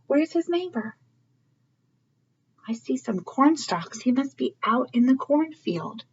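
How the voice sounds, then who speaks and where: Animated, high-voiced woman reading to children. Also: slow, slightly rough, highs cut off. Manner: asks listeners questions, explains to young children. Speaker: early learning read-aloud host. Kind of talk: children's storybook read-aloud.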